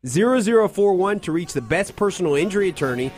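A man from Kentucky co-hosting a weekend sports talk radio show, relaxed and joking, who also reads sponsor lines. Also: sits high, quick pace, faint music behind. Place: radio studio.

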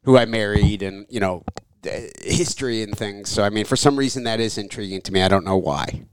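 A man's voice: fairly low pitch, a little fast, clear, resonant tone, no echo. A middle-aged man hosting his own podcast, talking in a chatty, rambling way and reading his own ad spots. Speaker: podcast host. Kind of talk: casual interview chat.

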